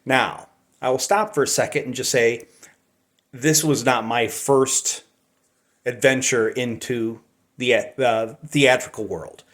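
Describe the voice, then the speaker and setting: A middle-aged man tells personal stories casually. His delivery is unhurried and reflective, halting between short phrases, at an average male pitch. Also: steady tone, fairly bright tone. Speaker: storyteller, amateur voice actor.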